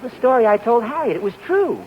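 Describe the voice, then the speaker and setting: An actor playing a good-natured, teasing husband in a 1940s radio sitcom, speaking in a playful, mock-innocent way. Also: male voice, very high voice, big pitch swings, brisk. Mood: sheepish, joking. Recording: dull lo-fi 1940s radio broadcast with hiss.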